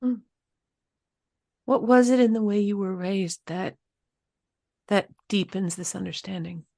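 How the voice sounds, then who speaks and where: A woman hosting an interview, asking thoughtful, measured questions. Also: mid-range voice, creaky, a little mellow. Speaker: host.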